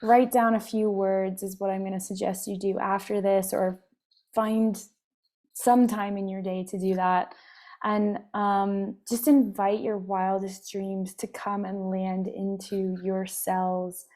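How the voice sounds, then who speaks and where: Woman meditation host, scratchy from a cough, slow and even delivery, gentle meandering talk. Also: medium pitch. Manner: soft, meandering, many fillers. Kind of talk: casual spiritual talk.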